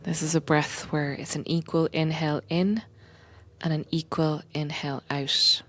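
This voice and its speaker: A woman guiding a meditation in a gentle, unhurried, soothing way, often softening toward a breathy whisper. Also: deep voice. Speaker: meditation guide and coach, podcast host. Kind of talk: guided meditation, soothing slow instruction.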